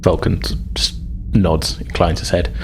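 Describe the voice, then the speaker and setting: A man narrating a tabletop game as game master, improvising in a casual, halting way. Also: sits low, flat delivery, creaky, darkish tone, faint music behind.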